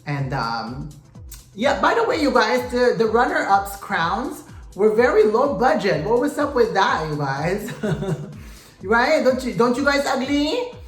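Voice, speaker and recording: A chatty pageant vlogger dishes on the results with plenty of sass and gossip, talking straight to the viewers. The voice sits at a middling pitch and bounces off a roomy indoor space, with faint music underneath. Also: sing-song, slow pace. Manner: sassy, gossipy, addresses viewers as "you guys" and "queens". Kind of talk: casual recap commentary to camera.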